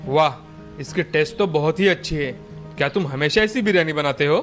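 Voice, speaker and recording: A man voicing an important hotel-owning gentleman in a story, eager and appreciative. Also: a little high, fairly quick, soft background music, natural voice.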